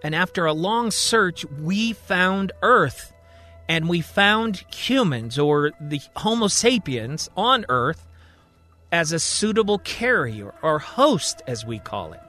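Man voicing a patient, slow-spoken alien man. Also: a little high, big pitch swings, faint music behind, full character voice. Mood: grave, explanatory. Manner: gentle, measured, deliberate explaining.

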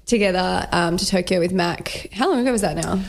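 A woman's voice: lowish voice, brisk, creaky. A young woman hosting a casual chat interview in a friendly, informal way. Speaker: podcast host, interviewer. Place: in a home, indoors.

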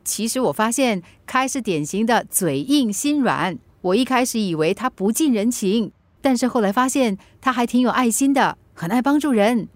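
Woman acting a high-voiced, chatty young Singaporean woman. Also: animated, moderate pace, dry recording, natural voice. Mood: warm, coaxing. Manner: chatty, polite, fussing. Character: chatty, eager young woman, kind-hearted and helpful. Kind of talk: radio drama acting.

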